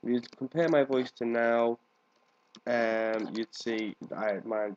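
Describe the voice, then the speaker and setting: A man's voice: medium pitch, fairly even intonation, slow, a little hiss or hum. Congested man casually narrating gameplay, dull audio. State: congested with a cold, coughing now and then. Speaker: gaming video host. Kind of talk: casual let's play commentary. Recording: dull, lo-fi microphone sound.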